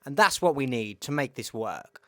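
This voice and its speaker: Guy walking thru a tech demo, plain spoken, medium pitched voice, step by step. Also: expressive, normal speed, bright, forceful tone. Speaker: tech tutorial presenter. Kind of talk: explanatory demo narration.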